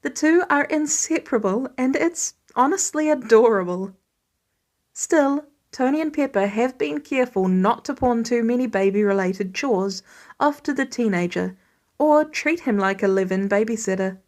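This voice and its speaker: A woman narrates fiction in a fairly high voice. She reads scripted prose as an amateur audiobook storyteller would, telling the tale in the third person. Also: animated, moderate pace, darkish tone. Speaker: fan fiction audiobook reader.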